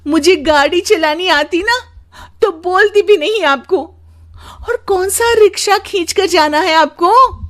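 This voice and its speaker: A woman's voice: extremely high pitch, slow, voice pushed up. A woman voicing a demanding wife in a cartoon story, wheedling and insistent. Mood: nagging. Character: demanding, coaxing young wife, shrill and nagging.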